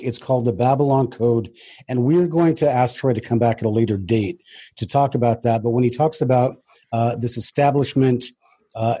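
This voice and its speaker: A man hosts an interview in a husky, dark-toned voice. The sound is dry and close, and he moves conversationally between comment and question. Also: medium pitch, no room sound. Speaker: interview host.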